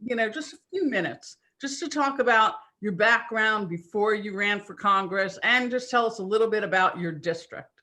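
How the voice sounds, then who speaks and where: A man's voice: sits high, animated, moderate pace, few pauses, bright, forceful tone. A man hosting a live webinar series, upbeat and chatty, thanking sponsors and introducing his guest over a video call. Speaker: host of business webinar series.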